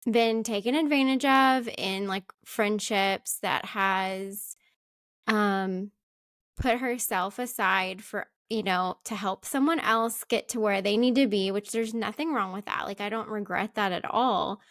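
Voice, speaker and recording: A soft-spoken woman hosting a casual interview, chatty and full of "like" fillers. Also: medium pitch, measured pace, dry recording, studio quality. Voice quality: soft-spoken, not loud.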